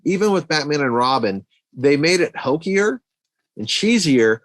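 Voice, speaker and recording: A man's voice: on the high side, very animated, a little slow, no echo. A man co-hosting a podcast, chatting casually about movies in a laid-back, slangy way. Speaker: podcast co-host.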